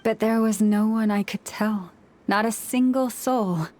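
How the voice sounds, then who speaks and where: A woman playing a serene, melancholy young antlered priestess in a video game, speaking in a solemn, measured, formal storytelling manner. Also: medium pitch, a little mellow, close to an everyday voice. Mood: solemn, wistful. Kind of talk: scripted video game dialogue.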